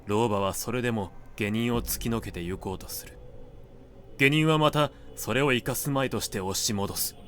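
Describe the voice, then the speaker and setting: A man narrating classic literary fiction from a script, in measured, deliberate dramatic phrasing. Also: medium pitch, slight background noise.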